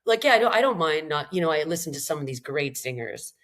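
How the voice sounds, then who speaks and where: Woman from Canada, slightly raspy voice, rambling and self-deprecating, candid podcast guest. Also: deep voice, lively intonation, fast, fairly bright tone. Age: middle-aged. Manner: rambling, self-deprecating, frequent laughs and restarts. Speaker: singer-songwriter guest.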